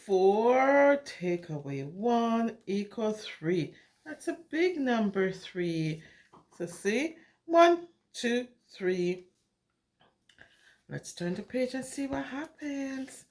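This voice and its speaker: A woman's voice: fairly high pitch, big pitch swings, extremely slow, a little mellow. A woman reading a picture book aloud to young children in an exclamatory, singsong way, often putting questions to the listeners. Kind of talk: animated interactive read-aloud for young children.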